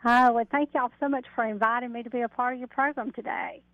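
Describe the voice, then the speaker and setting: A woman's voice: fairly high pitch, fairly even intonation, fast, no echo. A middle-aged woman guest on a radio interview, explaining her work, heard over a narrow telephone line. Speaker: interview guest, mediator and coach. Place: phoned into a radio show.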